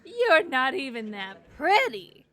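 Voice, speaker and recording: A woman's voice: sits high, very animated, takes it slowly, full character voice. An actress playing a drunk, jealous young woman in an audio drama, petulant and drawling. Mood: mocking, resentful. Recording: crowd chatter behind.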